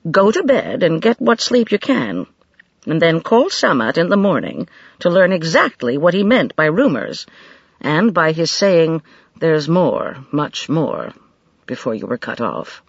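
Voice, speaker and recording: A woman reading an audiobook, voicing a calm, sensible older wife who speaks firmly and reasonably. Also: low-pitched, measured pace, creaky, slightly rough, no room sound, full character voice. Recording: dry, slightly dull studio recording.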